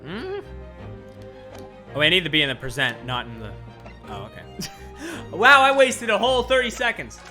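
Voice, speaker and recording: Streamer, casual sarcastic chatter, lively swooping pitch, game music behind. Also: normal speed, pauses often. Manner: sarcastic asides, swearing, laughing. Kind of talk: let's-play commentary.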